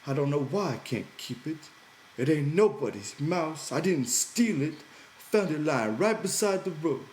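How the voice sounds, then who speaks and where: Man voicing a high, childlike, simple big man. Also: animated, darkish tone, lightly acted. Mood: pleading. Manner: naive, slow, rustic dialect. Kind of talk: character voice in audiobook reading. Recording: roomy echo, background noise.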